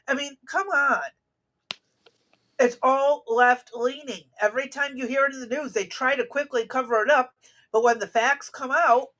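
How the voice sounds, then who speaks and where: A woman's voice: fairly high pitch, fairly bright tone. A woman hosting a casual political commentary show, speaking in a blunt, combative, profane way. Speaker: talk show host.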